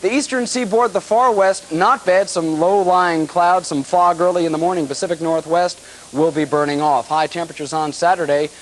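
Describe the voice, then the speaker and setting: A man's voice: fairly high pitch, steady tone, moderate pace, low-quality recording. An American man giving a television weather forecast in a breezy, conversational way with clipped, ad-libbed phrases. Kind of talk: ad-libbed weather forecast, chatty broadcast delivery. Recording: hissy old 1980s TV broadcast tape.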